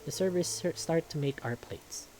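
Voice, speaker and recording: A man's voice: fairly high pitch, moderate pace. A man reading scripted first-person story narration from a visual novel. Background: music.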